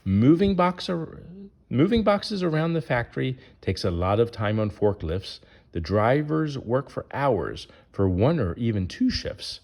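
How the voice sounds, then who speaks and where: A man's voice: mid-range voice, big pitch swings, a little slow, darkish tone. A man reading a rhyming children's picture book aloud in a sing-song way, putting questions to young listeners.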